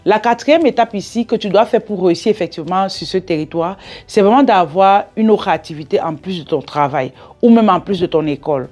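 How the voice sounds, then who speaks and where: A woman's voice: mid-range voice, brisk, hardly any pauses, a little mellow. A woman with an African French accent giving advice straight to viewers in an emphatic, instructive way, often asking rhetorical questions and checking in. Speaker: business coach and video host. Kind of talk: direct-to-audience advice vlog.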